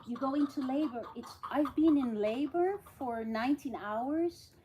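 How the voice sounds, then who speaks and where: A woman from the Netherlands speaking personally to a group, emphatic and repetitive, pausing between phrases. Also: high-pitched, lo-fi sound. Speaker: nurse. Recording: noisy live stream, clicks, roosters.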